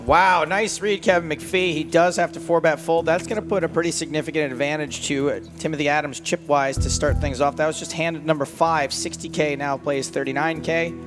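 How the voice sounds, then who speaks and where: A man with a fairly high voice commentates a live match over clearly audible music. He slips between play-by-play and wisecracks, keeping it conversational. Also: fairly even intonation, fairly quick, hardly any pauses. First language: English. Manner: joking, wisecracking. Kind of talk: live sports commentary with joking banter. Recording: broadcast with music playing behind.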